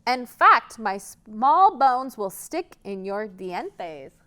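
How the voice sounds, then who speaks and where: A woman's voice: a little high, very animated, extremely slow, a bit bright, full character voice. A woman voicing a small, clever male billy goat from a children's storybook, pleading and bargaining. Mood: persuasive.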